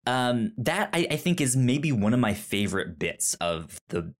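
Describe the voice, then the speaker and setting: Halting, casual male podcast host. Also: mid-range voice, sing-song. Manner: halting, stammering with repeated word starts. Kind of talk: casual book discussion.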